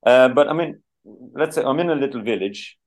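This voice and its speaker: A man's voice: mid-range voice, expressive, a little fast. A man from Dublin with a Dublin Irish accent, chatting as a guest in a casual interview, rambling with many restarts and "you know"s. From Dublin, Ireland.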